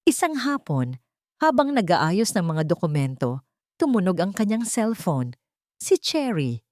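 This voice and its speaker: A woman's voice: lowish voice, darkish tone, no echo, studio quality. A woman reading a scripted dramatic story as the narrator of an audio drama.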